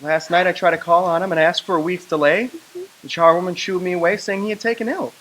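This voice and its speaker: A woman playing an earnest debtor husband in a radio drama, steady in manner. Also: lowish voice, quick pace, natural voice, rough recording.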